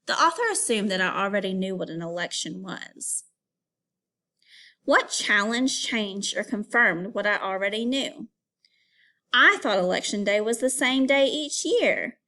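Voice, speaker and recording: A woman teacher reading aloud to young pupils and explaining as she goes, in an instructive, clearly phrased way. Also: medium pitch, expressive, unhurried, sharp tone. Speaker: elementary school teacher. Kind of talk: read-aloud reading lesson for children.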